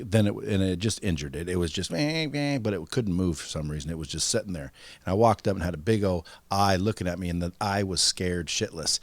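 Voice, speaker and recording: A man's voice: low voice, no room sound. A man hosting a podcast, telling stories in a blunt, profane, casual way. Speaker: podcast host. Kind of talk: casual interview chat.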